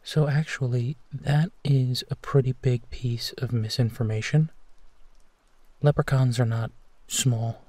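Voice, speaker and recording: A man playing a gentle, protective werewolf, speaking tenderly and soothingly in an intimate sleep-aid roleplay, with a soft, breathy voice. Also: medium pitch, fairly even intonation, no echo, natural voice. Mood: gently amused. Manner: hushed, tender, unhurried reassurance with pauses. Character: gentle, protective male werewolf comforting a frightened companion.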